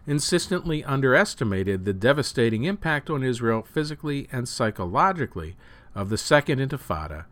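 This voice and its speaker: A man reading news and opinion articles aloud as a host, with measured, emphatic phrasing that falls away at sentence ends. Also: medium pitch, very animated, a bit bright, no room sound. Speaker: news reader and show host.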